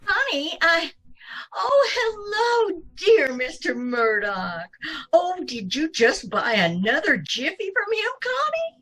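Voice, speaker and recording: A woman playing an excitable, dithering older landlady, fluttery and gushing, in an old-time radio comedy. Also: extremely high pitch, takes it slowly, heavily acted. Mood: gushing innocence.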